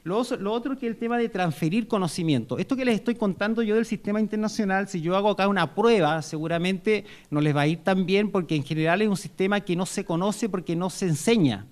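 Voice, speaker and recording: A man with a Chilean accent speaking at a university panel. His voice sits high for a man. He talks in a loose, conversational way, stopping to restart his sentences and filling pauses with "eh". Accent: Chilean Spanish. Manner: conversational, with many fillers and restarts. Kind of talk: public talk at a panel. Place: university lecture hall.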